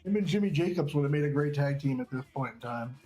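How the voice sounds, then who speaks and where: A man with a slightly high voice hosts a loose watch-along, talking in enthusiastic, profanity-laced slang. Faint music sits behind him. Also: animated, quick pace, warm tone, low-quality recording. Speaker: segment host and match commentator. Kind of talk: casual wrestling watch-along commentary.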